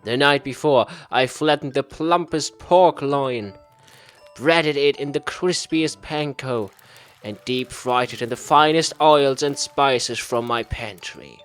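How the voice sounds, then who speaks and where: A man's voice: mid-range voice, slow pace, a bit bright, clear, resonant tone, faint music behind. A man voicing an earnest, food-obsessed office worker from a visual novel, dramatic and easily wounded. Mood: proud, savoring. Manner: dramatic, savoring descriptions.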